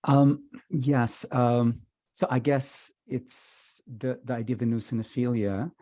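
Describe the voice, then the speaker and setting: A man's voice: mid-range voice, steady tone, slow, warm tone, no echo. A middle-aged man, a film critic answering questions thoughtfully and hesitantly over a video call. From India. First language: Tamil. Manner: thoughtful, hesitant, with frequent fillers. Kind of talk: reflective conversational answer.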